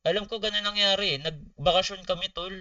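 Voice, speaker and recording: Bright high-voiced Filipino man, casual streamer. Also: fast, no echo, recorded from a distance, muffled recording. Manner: animated, slangy, swearing, talking to viewers. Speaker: gaming streamer. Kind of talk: casual storytelling livestream chat.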